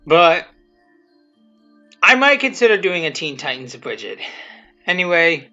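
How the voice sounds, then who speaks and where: A person giving rambling, unscripted commentary on their own video, halting and repeating themselves, with blunt, self-deprecating swearing. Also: mid-range voice, sing-song, sharp tone. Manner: halting, repetitive, often restarting sentences. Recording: muffled lo-fi audio, music behind.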